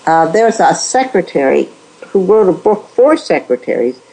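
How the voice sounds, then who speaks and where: A woman in a conversational interview, giving measured advice with frequent restarts. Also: fairly low pitch, big pitch swings, dark tone, rough recording. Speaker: guest.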